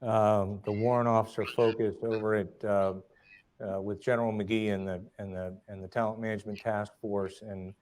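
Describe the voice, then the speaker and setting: A man's voice: on the low side, level tone, moderate pace, darkish tone. Hesitant man moderating questions. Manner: deferential, hesitant with many fillers. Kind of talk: moderating a virtual Q&A.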